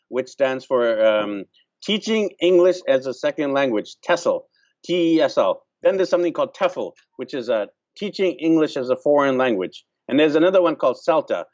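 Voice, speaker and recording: A man's voice: mid-range voice, animated, a little mellow. Man talking, dull recording. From Vancouver, Canada. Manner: many fillers, pauses to restart sentences. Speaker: host. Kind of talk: casual explanatory talk.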